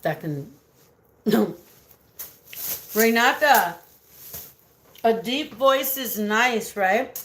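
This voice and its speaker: A woman with a raspy voice chatting casually on a live stream, greeting viewers by name, giggling often and slipping Spanish words into her talk. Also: a little high, animated, drawn-out words, pauses often, fairly bright tone, a little hiss or hum. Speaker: livestream host reading viewer comments.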